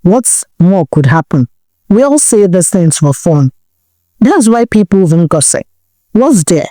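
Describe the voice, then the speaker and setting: A woman's voice: fairly low pitch, very animated, a little slow, mellow, no echo. A woman voicing a boastful young village gossip who shrugs off a warning with rhetorical questions. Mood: dismissive. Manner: dismissive, rising rhetorical questions.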